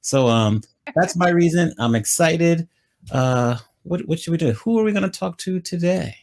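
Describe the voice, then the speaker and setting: A man's voice: a little high, lively intonation, moderate pace, gravelly, a little mellow. Upbeat man co-hosting a live stream. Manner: upbeat, greets chat viewers by name. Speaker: co-host.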